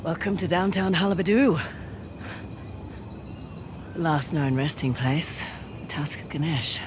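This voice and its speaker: A woman's voice: low voice, measured pace. Wry teasing treasure hunter lady for a video game, adventurous, noisy ambience behind her. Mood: awed, curious. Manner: teasing, flippant. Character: wry, daring adult female treasure hunter. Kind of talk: video game cutscene acting.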